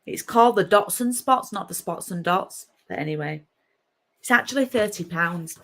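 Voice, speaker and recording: A woman's voice: fairly low pitch, expressive, moderate pace, fairly bright tone. A middle-aged woman with a Yorkshire accent, chatting casually on a craft live stream from her home craft room and greeting viewers by name. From Yorkshire, England.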